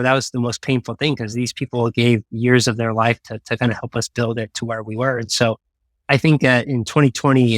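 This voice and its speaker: Earnest halting man, dry sound. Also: medium pitch, no room sound. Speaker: interview guest, bubble tea entrepreneur. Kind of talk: reflective interview talk.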